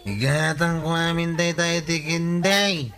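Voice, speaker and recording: An actor with an Ilocano accent playing an earnest, lovestruck village bachelor in a comedy radio drama. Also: male voice, on the high side, slow pace, fairly bright tone. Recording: music bed behind the voice.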